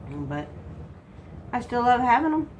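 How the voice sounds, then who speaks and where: A woman's voice: on the high side, very animated, a little slow, lo-fi sound, slight background noise. A congested woman from Texas, chatting casually while she works on a craft and answering her own questions. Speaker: crafting vlogger.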